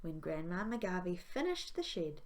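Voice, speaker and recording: A woman's voice: fairly low pitch, very animated, unhurried. A woman, a primary school teacher, reading a children's story aloud expressively.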